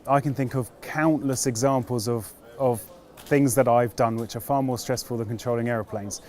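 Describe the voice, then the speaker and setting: A British man giving reflective interview answers as an air traffic controller, in a measured, understated, explanatory manner. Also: medium pitch, steady tone, a little mellow.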